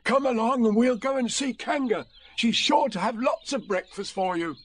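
A man's voice: on the high side, very animated, moderate pace, slightly rough, pitched above the performer's own voice. A man voicing a kindly, earnest toy bear in a storybook, in a friendly, simple manner. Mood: encouraging. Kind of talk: storybook character voice.

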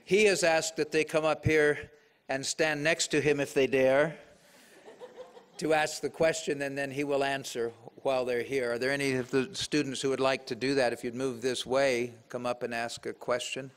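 A man's voice: mid-range voice, fairly even intonation, normal speed, smooth. A middle-aged man moderating a conference session, courteously inviting students up to ask questions. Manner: courteous, organizing. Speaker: event moderator. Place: large auditorium, at a podium. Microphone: podium microphone.

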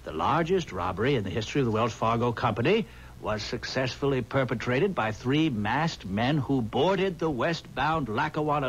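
A man's voice: medium pitch, close to an everyday voice. An American man playing an eager, stubborn amateur sleuth in a 1970s radio drama, explaining himself emphatically. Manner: emphatic, explanatory, rhetorical questions. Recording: noisy, muffled 1970s radio recording.

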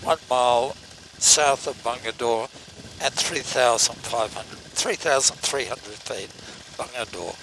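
A man, a paramotor pilot making radio calls and commenting in flight in a measured, halting way. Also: medium pitch. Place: outdoors, flying a paramotor in the air. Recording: loud engine and wind noise.

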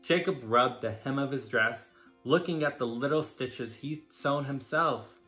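A man narrating a children's picture book as a drag storytime reader, dramatic and deliberate. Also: medium pitch, pushed and bright, soft background music. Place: small furnished room indoors.

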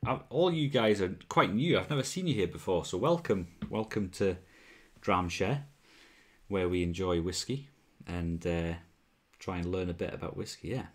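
A young Welsh man with a deep, low voice hosts a relaxed home livestream. He talks easily and answers viewers' comments as they come in, speaking into a wired earphone mic in a small room. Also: normal speed. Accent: mild Welsh. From Wales. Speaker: whisky livestream host. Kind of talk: casual livestream chat. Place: small room at home, bottles on a shelf behind.

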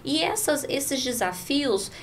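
Brazilian woman with clear background noise. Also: high-pitched, sing-song, slow pace. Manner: frequently seeks agreement with tag questions. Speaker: franchisor guest. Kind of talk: podcast discussion, conversational. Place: indoor podcast studio. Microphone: close studio microphone on boom arm.

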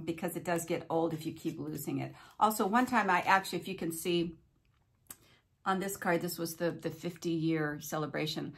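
Chatty older woman vlogging from home. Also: lowish voice. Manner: friendly storytelling, playful asides. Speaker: cruise vlogger host. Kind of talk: chatty countdown vlog. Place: small home room.

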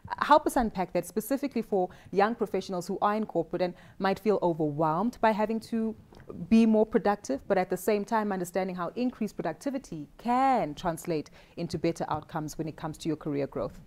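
Quick-paced woman host on a studio panel, asks long drawn-out questions. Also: mid-range voice, a little mellow. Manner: poses long, structured questions. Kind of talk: moderating a panel discussion. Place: dark studio set at a round table.

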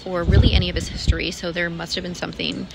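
A woman's voice: lowish voice, level tone, fast talker, creaky, heavy background noise. An American woman in her thirties talking casually and emotionally to camera, sounding upset and disappointed. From United States, Illinois. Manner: casual, filler-heavy, emotional. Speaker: vlogger. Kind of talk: candid confessional vlog to camera. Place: indoors in a barn. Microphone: phone at arm's length.

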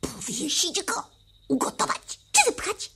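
A woman's voice: sits high, huge pitch swings, measured pace, pushed and bright, full character voice. A woman playing a tiny, fearless, mischievous girl creature in a 1970s radio play, cheeky and exclamatory. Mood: cheeky, excited. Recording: noisy vintage vinyl radio play.

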